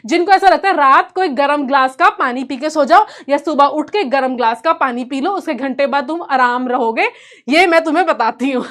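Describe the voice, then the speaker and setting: A woman's voice: high-pitched, fairly bright tone. A woman with an Indian accent teaching an informal live online class, playful and teasing with students and switching between two languages. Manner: mixes Hindi and English.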